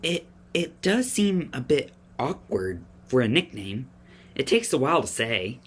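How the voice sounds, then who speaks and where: Woman playing a husky, curious young boy. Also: very deep voice, very animated, a little slow, full character voice. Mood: puzzled, polite. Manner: earnest, inquisitive. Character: curious, friendly, clever young boy, polite and questioning. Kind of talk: family audio drama acting.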